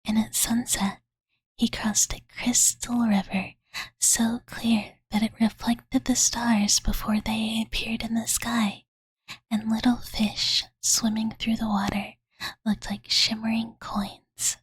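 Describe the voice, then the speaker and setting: Casting: woman playing sweet, slightly pouty dragon girl for ASMR bedtime roleplay; very breathy whisper, unhurried, close and dry. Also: a little high, flat delivery, no room sound, exaggerated voice. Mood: hushed storytelling. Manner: slow, gentle, valley-girl filler "like", refers to self in third person. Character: cute, childlike dragon girl, devoted and slightly pouty, telling bedtime stories. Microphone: close studio condenser microphone, dry.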